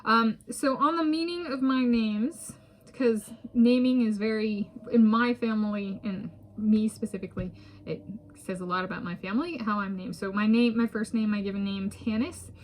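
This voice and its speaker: A woman's voice: on the high side, normal speed, slight background noise, low-quality recording. A woman from the United States talking casually to her own camera, rambling with many restarts and small laughs. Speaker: vlogger. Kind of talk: casual solo talk to camera. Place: home in a residential area. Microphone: self-filmed camera.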